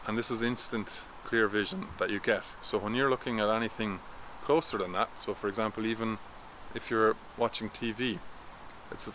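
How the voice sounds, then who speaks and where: A man in his thirties talks to the camera in a garden and explains things in a steady, instructive way. His voice sits a little low but sounds bright and forward. Faint outdoor ambience and distant traffic come through in the background. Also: moderate pace. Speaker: vision coach. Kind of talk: talking-head explainer vlog.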